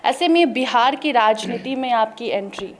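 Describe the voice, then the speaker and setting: A woman's voice: a little high, expressive, normal speed, slight background noise. A woman news interviewer who introduces the guest and asks direct, formal questions.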